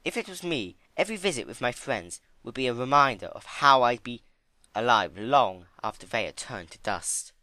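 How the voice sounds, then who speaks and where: A man's voice: mid-range voice, animated, moderate pace, pushed and bright, dry recording, pitched above the performer's own voice. A man voicing a refined, vain female pony in an affected, theatrical manner for a dramatic storybook reading. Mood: sombre. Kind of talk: dramatic fan fiction reading.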